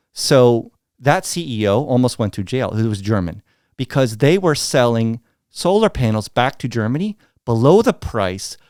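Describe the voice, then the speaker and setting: Middle-aged American man, blunt storyteller, lively delivery, dry close sound. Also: medium pitch, no echo. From United States. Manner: blunt, animated, acts out quoted dialogue. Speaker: guest. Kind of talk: candid storytelling interview.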